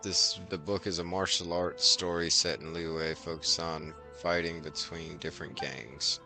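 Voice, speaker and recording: A male game streamer reads on-screen text aloud. His voice is deep and fairly monotone, yet bright and forward. Faint music plays behind him. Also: a little slow, gravelly.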